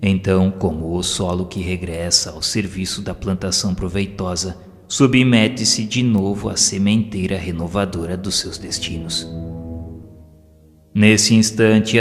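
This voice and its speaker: Low Brazilian male narrator in long reverb. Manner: solemn, formal literary delivery. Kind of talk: scripted audio novel narration. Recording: long reverb over music. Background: music.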